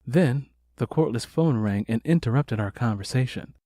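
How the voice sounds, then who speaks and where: A man's voice: medium pitch, lively intonation, normal speed, mellow, no room sound, studio quality. A man reading scripted first-person fiction as an audiobook narrator.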